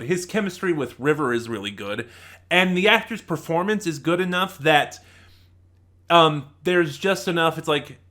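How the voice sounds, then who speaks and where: A man's voice: on the high side, fairly bright tone. Casual man giving a chatty review. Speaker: host of a review channel.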